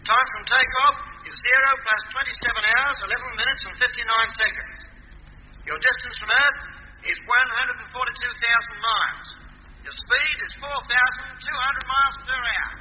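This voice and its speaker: An actor playing a calm, formal ground control operator, precise and official, heard over a radio link in a 1950s radio drama. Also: male voice, extremely high pitch, normal speed, muffled recording. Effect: distant radio transmission. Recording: thin, noisy, reverberant old radio recording.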